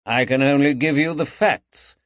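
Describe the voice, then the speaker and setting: A man voicing a brisk, sardonic gentleman surgeon in an audiobook, clipped, formal and condescending. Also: mid-range voice, no echo, lo-fi sound, voice pushed up, lightly acted. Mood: matter-of-fact. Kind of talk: audiobook character dialogue.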